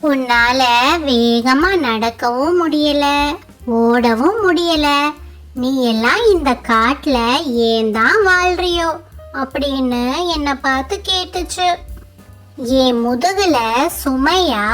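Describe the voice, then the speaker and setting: A woman voicing a young, plaintive, self-pitying tortoise in a children's animated story. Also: high voice, full character voice. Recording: music bed under the voice.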